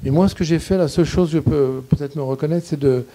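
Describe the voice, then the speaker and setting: A man in his sixties answers audience questions into a handheld mic in a small room. His voice is deep-toned and very dark, and he talks haltingly, restarting phrases as he hunts for the right word. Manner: halting, searching for words, gesturing. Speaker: guest cinematographer. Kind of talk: thoughtful Q&A answers. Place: small indoor screening room in a shop. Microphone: handheld mic, standing.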